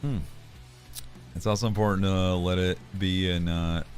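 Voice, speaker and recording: A man's voice: low voice, steady tone, measured pace, faint music behind. A man chatting casually on a livestream, joking loosely and laughing often. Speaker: streamer.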